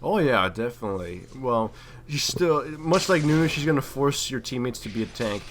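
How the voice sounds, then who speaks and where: A man's voice: medium pitch, expressive, moderate pace, a bit bright. Slangy man commentating over noise. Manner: slangy, profane, opinionated. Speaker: gameplay commentator and player. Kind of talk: casual gaming commentary.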